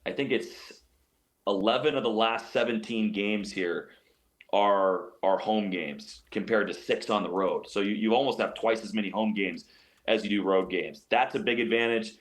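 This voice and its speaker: A man's voice: fairly low pitch, moderate pace. A man giving sports analysis as an interview guest, explaining his points emphatically and often repeating phrases. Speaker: radio play-by-play announcer as guest.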